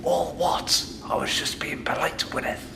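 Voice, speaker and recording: Creaky-voiced, fussy older father played by a man. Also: a little high, moderate pace, whispered. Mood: hushed. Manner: formal, old-fashioned phrasing. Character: fussy, status-conscious older father, polite and grumbling. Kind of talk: radio play acting. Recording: heavy ambient noise behind the voice.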